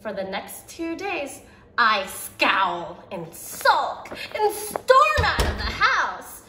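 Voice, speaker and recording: A young woman reads a children's picture book aloud in a slow, unhurried way, her voice rising and falling a great deal. Her pitch sits a little high, and she gives each quoted line its own expression. The room sounds roomy, with a faint hiss underneath. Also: big pitch swings, sharp tone. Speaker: preschool teacher. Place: indoor room by a brick fireplace.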